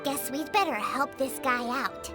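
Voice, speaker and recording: A woman voicing a tiny childlike fairy companion in a video game, squeaky and perky, with music behind her. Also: a little slow. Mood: matter-of-fact. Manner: perky, speaks of herself in third person. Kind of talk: video game character acting.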